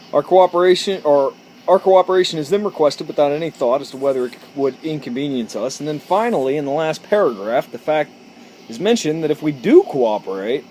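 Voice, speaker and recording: A man talking casually and reading aloud as a vlogger, informal and a little halting between passages. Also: medium pitch, a little mellow, slight background noise, low-quality recording. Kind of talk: casual storytelling to camera, reading letters aloud.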